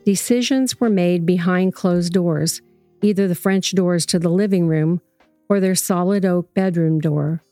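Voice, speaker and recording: A woman reading a scripted first-person story as narrator, in a measured, reflective way. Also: fairly low pitch, warm tone, no room sound, studio quality.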